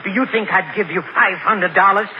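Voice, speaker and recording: An actor playing an oily, menacing male counterfeiter in a 1940s radio comedy, speaking with a soft creepy purr. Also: brisk, slight background noise, close to an everyday voice. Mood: scornful. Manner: soft creepy purr, turns to shrill panic. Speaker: guest star villain. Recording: muffled old radio broadcast.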